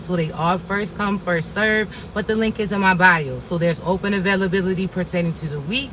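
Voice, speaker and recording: A man with a raspy voice giving a slow, reassuring spiritual message to viewers, often checking in with "all right?". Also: sits high, background noise. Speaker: spiritual reader.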